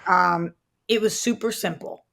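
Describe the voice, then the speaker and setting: A woman's voice: lowish voice, unhurried, gravelly, a bit bright. A woman co-hosting a podcast, bantering casually and readily questioning her partner. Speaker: podcast co-host.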